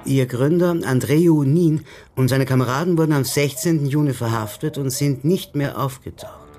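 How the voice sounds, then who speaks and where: An actor playing a well-informed political comrade of a detective in a radio crime drama, speaking in a wry, knowing manner. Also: male voice, medium pitch, normal speed, mellow, lightly acted. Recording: studio radio drama with music underneath.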